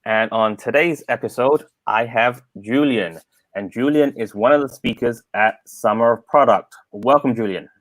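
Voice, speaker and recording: A man's voice: medium pitch, normal speed, a bit bright, no room sound. A man hosting a live interview show, friendly, repeating words as he asks his questions. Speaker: show host.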